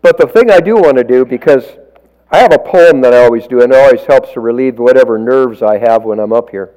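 A man preaching in church, telling folksy stories with self-deprecating asides and a loud, projecting voice on a stage microphone. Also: medium pitch, quick pace, low-quality recording. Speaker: lay preacher. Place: church sanctuary. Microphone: stage microphone, walks around.

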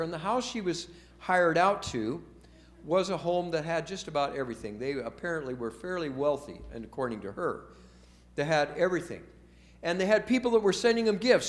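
A folksy man in his seventies tells a story to a group of children, stopping to quiz them along the way. His voice sits a touch higher than most men's, and his telling is loose and chatty rather than scripted. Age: 70s. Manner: folksy, asks the children questions. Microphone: told from memory without notes.

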